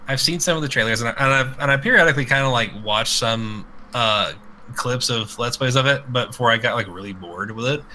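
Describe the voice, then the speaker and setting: A man's voice: mid-range voice, a little fast, pushed and bright, slight background noise. A man co-hosting a casual live podcast, rambling and jokey in a mock-earnest way, swearing freely. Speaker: podcast co-host.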